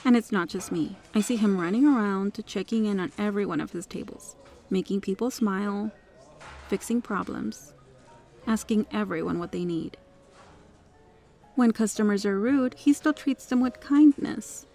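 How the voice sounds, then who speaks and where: A woman's voice: medium pitch, normal speed, dark tone, close to an everyday voice. A woman playing a kind, protective restaurant regular in an ASMR roleplay, speaking in a polite, articulate, reassuring way. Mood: firm, earnest. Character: kind, well-spoken female restaurant regular, firmly protective. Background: music.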